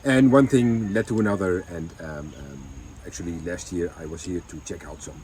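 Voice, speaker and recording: A man's voice: sits low, normal speed, dark tone, slight background noise, poor audio. A man telling his family's story in a casual chat, with many ums and restarts. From Netherlands. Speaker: vlogger.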